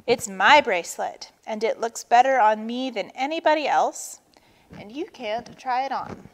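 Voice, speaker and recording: A woman's voice: on the high side, a little slow, close to an everyday voice. A woman voicing a stubborn, vain male vulture in a storybook, grumbling and possessive, recorded in a reverberant space. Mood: smug, possessive. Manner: grumbling, sneering, yelling. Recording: long reverberant room.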